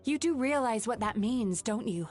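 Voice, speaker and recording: A woman voicing a game heroine, speaking in an earnest, calm and steady way. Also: on the high side, moderate pace, soft background music. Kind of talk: game voice acting.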